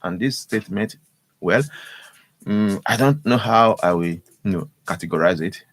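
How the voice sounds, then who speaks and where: A man with a Nigerian accent giving unscripted opinion as a news analyst, halting and repeating his words. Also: on the low side, no echo. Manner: halting, repeats words, often says "you know".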